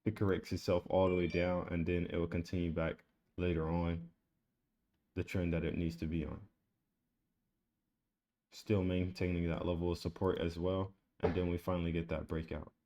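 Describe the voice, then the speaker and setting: Low, flat-voiced man walking through charts. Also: moderate pace, long pauses, a little hiss or hum. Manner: casual, repetitive chart walkthrough. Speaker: trading educator. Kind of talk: screen-share trading tutorial.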